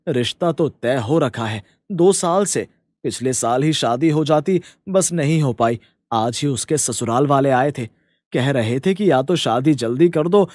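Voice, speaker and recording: A man's voice: mid-range voice, darkish tone, no echo, clean studio sound, close to an everyday voice. A man voicing a troubled, humble poor village father in a cartoon, speaking in an apologetic, weary manner.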